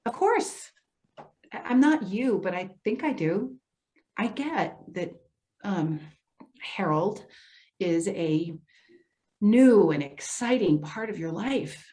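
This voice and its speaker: A woman's voice: medium pitch, expressive, slow, pauses often, dark tone. A woman playing a well-meaning social worker in a stage reading, hesitant, earnest and placating. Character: well-meaning female elder affairs social worker, apologetic, cautious. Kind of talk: readers theater stage acting.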